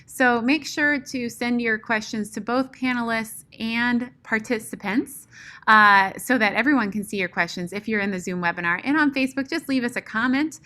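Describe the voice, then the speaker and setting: A woman's voice: mid-range voice, bright, forceful tone. A woman hosting an online webinar, giving an upbeat, announcing introduction with "uh" fillers. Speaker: host. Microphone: video call.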